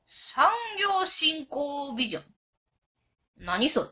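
A woman's voice: high voice, big pitch swings, takes it slowly, pushed and bright, exaggerated voice. A woman playing a brash, nosy but good-natured auntie with an Osaka accent in an amateur radio drama, talking bluntly and familiarly. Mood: curious, approving.

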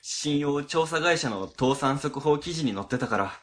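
Anxious, animated office section chief, played by an actor. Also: mid-range voice, moderate pace, natural voice. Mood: alarmed. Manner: hesitant, conciliatory. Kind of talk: web radio drama acting.

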